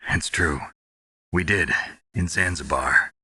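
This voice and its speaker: An actor playing a gruff, weary war veteran in a video game, stoic and blunt, with a raspy, clipped, deadpan delivery. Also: male voice, sits low, takes it slowly, no room sound, full character voice. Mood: reflective. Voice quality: very raspy, gravelly, husky. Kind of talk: dramatic video game voice acting.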